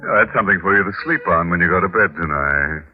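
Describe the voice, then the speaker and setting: Man playing a very low-voiced ghoulish radio horror host. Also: fast talker, faint music behind, lightly acted. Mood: gleefully morbid. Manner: teasing, mock-sinister. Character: ghoulish, wry horror-show host with morbid humor. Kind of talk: 1950s radio horror hosting. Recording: tinny, muffled old radio recording.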